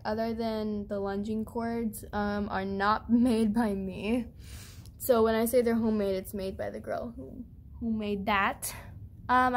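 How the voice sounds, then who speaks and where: A young woman with a high voice talks slowly through a chatty show-and-tell, filming on a handheld phone indoors. A faint hiss of room noise sits under her voice. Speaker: channel host. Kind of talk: casual toy barn tour. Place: indoors at a tabletop toy barn setup.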